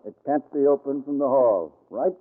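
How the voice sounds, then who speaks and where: An actor playing a confident, dismissive police inspector, assertive and matter-of-fact, in an old-time radio crime drama. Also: male voice, mid-range voice, normal speed, natural voice. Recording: muffled, narrow-band vintage radio recording.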